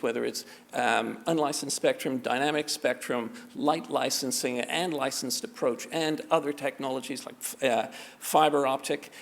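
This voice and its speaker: A man giving a conference talk on policy, halting and often repeating words as he restarts. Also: mid-range voice, gravelly. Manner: halting, many repetitions and restarts. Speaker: conference panelist on spectrum policy. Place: large conference hall. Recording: reverberant conference hall.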